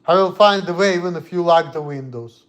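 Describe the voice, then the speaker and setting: A man's voice: a little high, brisk. A man from Russia with a Russian accent, talking bluntly and heatedly on a casual livestream in broken English. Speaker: streamer.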